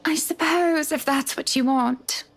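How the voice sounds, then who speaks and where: High-voiced, seductive wife. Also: female voice, unhurried. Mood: pouting, wistful. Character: seductive, pouting, queenly wife who beckons her husband. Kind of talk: visual novel game dialogue acting.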